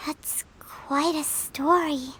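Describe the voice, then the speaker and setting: A woman voicing a tiny childlike fairy girl companion in a video game, with a squeaky voice and a naive, earnest manner. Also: fairly even intonation, takes it slowly, a bit bright, a little hiss or hum, low-quality recording. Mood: puzzled. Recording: music under the voice.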